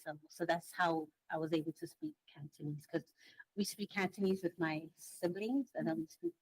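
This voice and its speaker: Halting low-voiced woman from Hong Kong. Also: sing-song, gravelly, slightly rough, dry recording, rough recording. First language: Tagalog. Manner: halting, searching for words. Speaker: guest, congregation secretary. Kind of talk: casual conversational storytelling.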